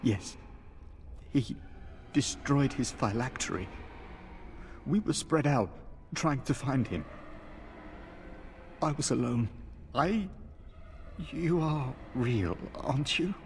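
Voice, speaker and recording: An actor playing a delirious, guilt-ridden captive knight, speaking in halting, confused, pleading fragments. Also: male voice, medium pitch, animated, slight background noise. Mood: feeble pleading.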